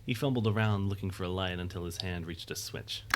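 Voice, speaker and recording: Low-voiced male story narrator. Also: brisk. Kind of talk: scripted audio drama narration. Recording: faint background noise.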